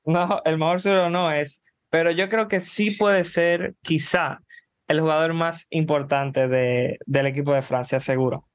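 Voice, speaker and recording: A man co-hosting a football podcast, speaking in a calm, measured way and calmly correcting his co-host with facts. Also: on the high side, clean tone. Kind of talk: casual football chat.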